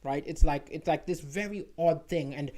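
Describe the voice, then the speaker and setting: A man with a notably high-pitched voice, a comedian chatting casually and rambling to an online audience, often tagging lines with "right?". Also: moderate pace, mellow.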